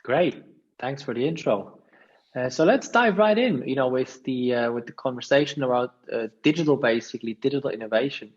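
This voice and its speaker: A man's voice: medium pitch, animated, a little fast, dull audio. A man hosting a podcast interview, welcoming his guest and asking questions with plenty of fillers. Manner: friendly, uses fillers like "you know". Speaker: podcast host.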